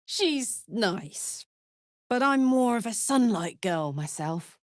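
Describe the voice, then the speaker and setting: A woman voicing a brash, warm-hearted and rowdy female adventurer in a video game, with blunt, informal and expressive delivery. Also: on the high side, slow pace, no echo, studio quality. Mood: casual, teasing.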